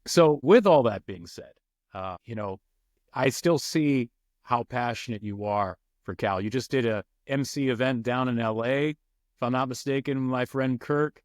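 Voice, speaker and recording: A man's voice: mid-range voice, measured pace, dry recording, studio quality. A man hosting a podcast, interviewing in a casual, conversational way. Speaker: podcast host.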